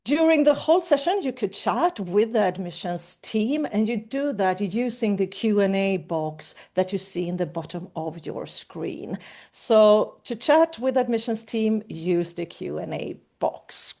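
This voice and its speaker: Mellow-voiced woman presenting a webinar. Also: medium pitch, animated, unhurried. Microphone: video call.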